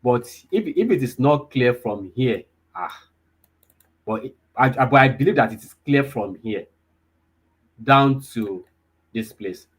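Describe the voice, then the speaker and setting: Husky man teaching online. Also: mid-range voice, expressive, a little slow, pauses often. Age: 20s. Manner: repetitive, checks in with listeners often. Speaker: data analysis instructor. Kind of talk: live online class.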